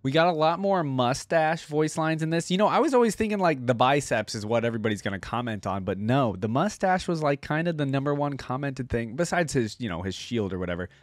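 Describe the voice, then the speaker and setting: A man's voice: mid-range voice, animated, fairly quick, few pauses, no room sound. A man hosting a reaction video, talking casually and informally with plenty of enthusiasm into a close mic.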